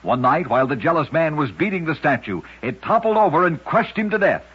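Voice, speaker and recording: A man hosting an old-time radio program, narrating scripted strange tales in a dramatic, deliberate storyteller's delivery. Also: a little high, very animated, slightly rough. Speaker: radio host and narrator of oddities. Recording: dull lo-fi vintage radio recording.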